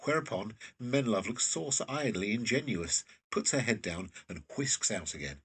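Male narrator for scripted scene description: lowish, rough-grained, slightly distant mic. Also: lively intonation, moderate pace, a bit bright. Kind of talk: scripted narration of stage directions.

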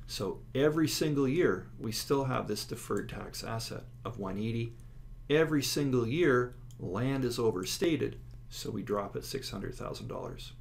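A man's voice: mid-range voice, moderate pace. A man giving an instructional lecture, explaining slides step by step and stressing key words. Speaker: accounting instructor. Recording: clear steady background noise.

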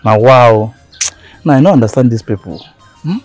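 A man's voice: mid-range voice, lively intonation, moderate pace, darkish tone, faint music behind, lightly acted. A man with a Nigerian accent acting a baffled brother in a screen drama, muttering to himself in Pidgin phrasing. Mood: baffled, troubled.

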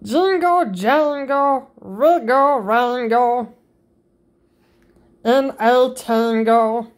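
A woman reading a picture book aloud to children in a slow, sing-song manner, jumping up high on the playful sound words. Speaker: storybook reader.

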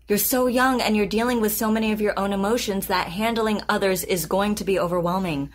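An actress playing a calm, patient therapist in an audio drama, composed and deliberate in her delivery. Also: medium pitch, lightly acted. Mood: measured. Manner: composed, deliberate, clinical, reassuring. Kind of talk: naturalistic audio drama acting.

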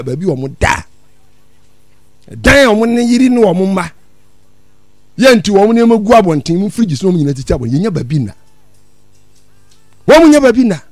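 A man's voice: high voice, no echo, studio quality. An older man with a Ghanaian accent preaching in an emphatic, repetitive, denouncing way into a handheld microphone. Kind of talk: impassioned prophetic sermon in Twi with English phrases.

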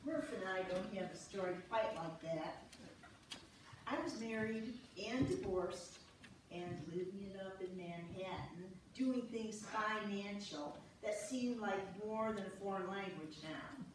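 A woman's voice: mid-range voice, unhurried, lightly acted. A woman playing a frank, wry wife telling her story in an amateur reader's theater, recorded far away in a church. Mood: wry, nostalgic. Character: frank wife Joanna, former Manhattan finance woman. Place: on stage in a church, far camera. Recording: very echoey hall, distant mic, noisy.

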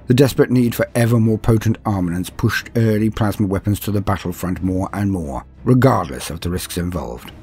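A man reading scripted fictional lore as a narrator, with a measured, formal and dramatic delivery. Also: fairly low pitch, warm tone, husky. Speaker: narrator and host of a science fiction lore guide. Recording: clean voice-over over faint music. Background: faint background music.

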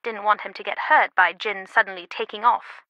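A woman's voice: medium pitch, steady tone, moderate pace, dry recording, dull audio, lightly acted. A woman playing a worldly, wry antiquities expert in an adventure game, talking in a conversational, confiding way. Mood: matter-of-fact, confiding. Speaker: video game voice actor. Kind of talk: scripted adventure game dialogue.

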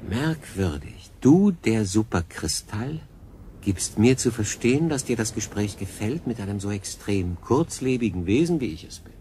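An actor playing a stranded solo sailor in a radio drama, wry, curious and plain-spoken. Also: male voice, on the low side, sing-song, normal speed, dark tone, slight background noise. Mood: reflective.

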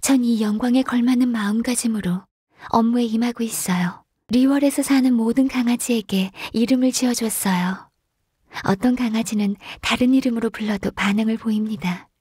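An actress voicing a gentle, diligent, shy young woman secretary in a video game, speaking softly, politely and hesitantly. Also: on the high side, fairly even intonation, moderate pace, breathy edge, no room sound, clean studio sound. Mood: calm, earnest. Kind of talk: video game character voice lines.

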